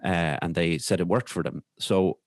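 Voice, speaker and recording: An Irish man speaking candidly as a podcast guest, in a hesitant, halting way with repeated words. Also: low-pitched, steady tone, no room sound, studio quality. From Ireland. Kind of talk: candid conversational interview.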